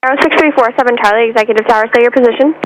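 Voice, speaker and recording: A woman's voice: a little high, rapid-fire. A woman working as an air traffic tower controller, giving clipped instructions over an aviation radio. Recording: noisy aviation radio transmission.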